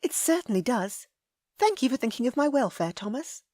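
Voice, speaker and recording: A woman voicing a proud young high-born lady with sharp, huffy retorts. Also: big pitch swings, moderate pace, dry recording, clean studio sound. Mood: sweet.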